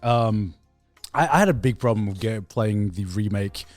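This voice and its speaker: A man co-hosting a podcast, chatting casually and haltingly, repeating words as he goes. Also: lowish voice, expressive, a little mellow, no room sound, soft background music. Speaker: podcast co-host.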